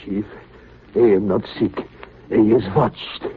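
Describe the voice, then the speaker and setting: An actor playing a frightened, paranoid, simple sailor with a Scandinavian accent, pleading in broken English in a 1930s radio drama. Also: male voice, fairly low pitch, fairly even intonation, slow pace. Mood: fearful insistence. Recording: muffled, tinny, noisy 1930s radio recording.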